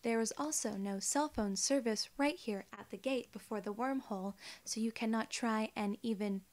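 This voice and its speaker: A woman in her twenties voicing a polite alien gatekeeper in soft-spoken, measured, reassuring ASMR role-play. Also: on the high side, natural voice. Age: 20s. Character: polite, formal female alien gatekeeper at a wormhole.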